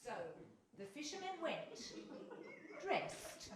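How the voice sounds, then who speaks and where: A woman plays a radio play narrator on stage. Her voice is high and lilts widely, yet her wit is dry and matter-of-fact. It sounds very echoey. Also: very animated, takes it slowly, a crowd in the background, full character voice. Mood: storytelling. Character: dry, matter-of-fact storyteller bantering with characters. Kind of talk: live radio theatre narration. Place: on stage in a hall before an audience. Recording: very echoey hall, distant mic, noise.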